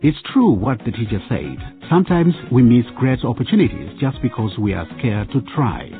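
A man's voice: medium pitch, sing-song, normal speed, narrow, tinny audio, music in the background. A man hosting and narrating a radio drama with scripted delivery.

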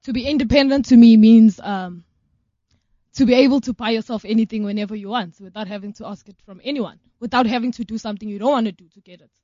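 A woman's voice: fairly high pitch, fast talker, dark tone, no room sound, highs cut off. A young woman from South Africa, a guest on a radio show, speaking in plain, direct statements. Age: young adult. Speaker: organization co-founder, guest. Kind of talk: radio interview.

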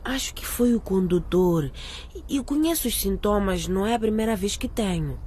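A woman's voice: mid-range voice, animated, moderate pace, darkish tone, lightly acted. Woman acting a frank teenage girl, noisy background. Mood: confiding. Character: frank, experienced teenage schoolgirl, slightly defensive. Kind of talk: radio drama acting.

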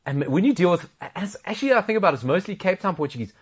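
Lively co-host. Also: male voice, sits high, rapid-fire, husky. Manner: lively storyteller, laughing, mimics people. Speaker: radio show co-host. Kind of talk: casual talk show banter. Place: radio studio. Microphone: studio microphone.

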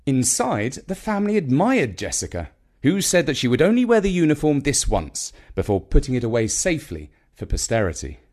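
A man reading a children's story in an expressive narrating style. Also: medium pitch, brisk.

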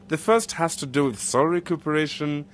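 An actor playing a principled village official in a radio drama, measured, polite and earnest. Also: male voice, on the high side, a little hiss or hum, natural voice. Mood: reassuring.

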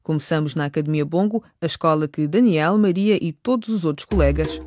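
A woman's voice: deep voice, brisk, darkish tone, no echo, clean studio sound. A woman narrating a scripted radio drama as its storyteller.

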